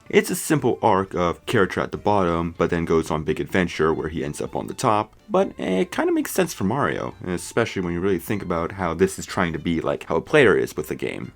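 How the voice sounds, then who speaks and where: A man narrating a scripted movie review as commentary. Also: deep voice. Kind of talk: scripted video essay commentary.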